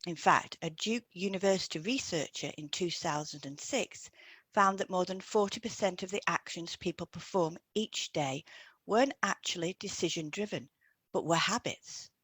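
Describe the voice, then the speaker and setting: A woman co-hosting an educational podcast, reading scripted explanations in a measured way. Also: on the low side, a bit bright, breathy edge, no echo. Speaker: podcast co-host, hypnotherapist.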